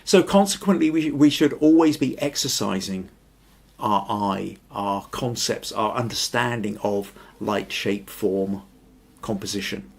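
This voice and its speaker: An older man with a gravelly, slightly husky voice hosts a photography show from a small room at home. He talks in a loose, thoughtful way, drifting onto side points and reading out comments from viewers. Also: lowish voice, a little slow. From Scotland. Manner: thoughtful, rambling, reads viewer comments aloud. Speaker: photography podcast host. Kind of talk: casual live-stream chat.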